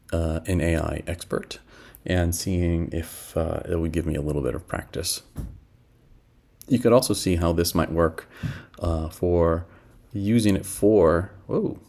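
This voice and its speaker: A middle-aged man with a very low voice and a fair amount of fry. He talks casually through a screen-share demo, thinking aloud in a halting way. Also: darkish tone. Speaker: product demo presenter. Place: small indoor room at home.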